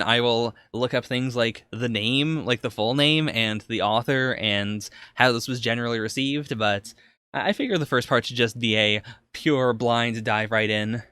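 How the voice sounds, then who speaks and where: A man's voice: mid-range voice, pushed and bright, dry recording. A man chatting casually as a podcast co-host, reacting to anime as he watches.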